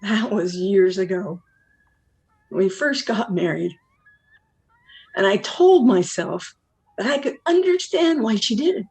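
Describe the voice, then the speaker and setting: Woman acting a slow-talking, weary, worldly wife. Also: mid-range voice, lively intonation, creaky, darkish tone, breathy edge, full character voice. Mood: bitter, reflective. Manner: deliberate, wistful, blunt. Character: weary, worldly wife and mother escaping to fish. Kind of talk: dramatic monologue in a stage play.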